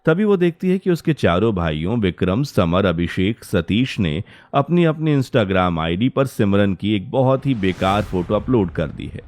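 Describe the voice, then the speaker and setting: A man's voice: lowish voice, very animated, a little slow, darkish tone, no echo, studio quality. A man narrating a scripted Hindi cartoon story as its storyteller.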